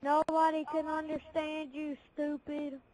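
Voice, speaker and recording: A woman's voice: sits high, little pitch movement, unhurried, darkish tone. A woman chatting casually and bluntly in an online game party, scolding other players over a noisy headset connection. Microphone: game console party chat.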